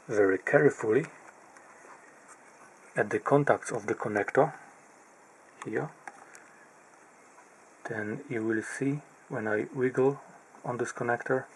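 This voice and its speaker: Slow, halting low-voiced man. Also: a little hiss or hum, low-quality recording. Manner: halting, pauses mid-phrase while pointing at parts. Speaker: electronics repair hobbyist. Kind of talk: slow, methodical narrated teardown.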